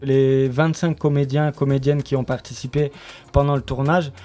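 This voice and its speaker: A man with a mellow, soft-edged voice at a medium pitch. He speaks as an interview guest, explaining a creative project in halting, unscripted talk. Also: flat delivery, faint music behind. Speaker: guest, radio drama writer and studio member.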